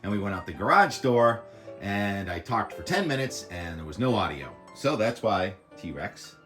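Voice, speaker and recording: A man with a loud voice, chatting casually about the weather outdoors, rambling with lots of fillers, recorded on a camera mic with no lavalier. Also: lowish voice, big pitch swings, normal speed, faint music behind. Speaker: weather vlogger. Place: outdoors by the water in the morning.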